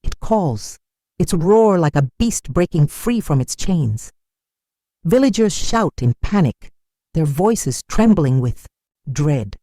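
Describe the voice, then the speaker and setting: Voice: medium pitch, big pitch swings, slow, dark tone, dry recording, studio quality. A narrator telling a dramatic folktale in a solemn, scripted storytelling style.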